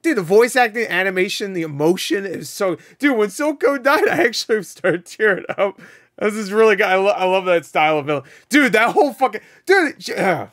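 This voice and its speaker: Excitable swearing man, voice wearing out. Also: high-pitched, a little fast, no echo, studio quality. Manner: excitable, swears a lot, laughs often. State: losing his voice. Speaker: reaction video host. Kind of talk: casual reaction commentary. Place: home room, indoors. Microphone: headset.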